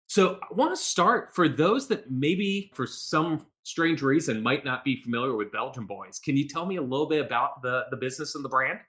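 A man's voice: medium pitch, expressive, moderate pace, few pauses, a bit bright. A man hosting a business podcast, reading an upbeat intro and then asking friendly questions. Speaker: podcast host.

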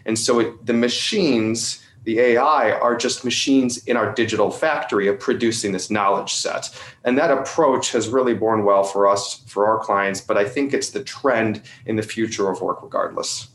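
A man's voice: on the low side, moderate pace, few pauses, fairly bright tone. Explanatory man in an echoey room. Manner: articulate, explanatory, often tags points with "right?". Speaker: company representative being interviewed. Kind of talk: conversational explainer in an interview. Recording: roomy, echoing sound.

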